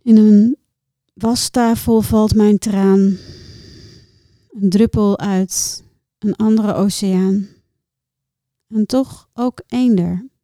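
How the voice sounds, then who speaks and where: A woman reciting her own poems in a measured, contemplative way, with deliberate pauses between short phrases. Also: medium pitch, steady tone, dark tone, dry recording, studio quality. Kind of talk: poetry recitation.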